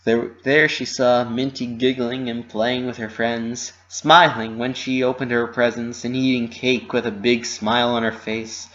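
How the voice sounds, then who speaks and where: Guy reading out a story dramatically, normal pitch, bit muffled lo-fi home recording. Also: flat delivery, unhurried, few pauses, fairly bright tone. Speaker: narrator.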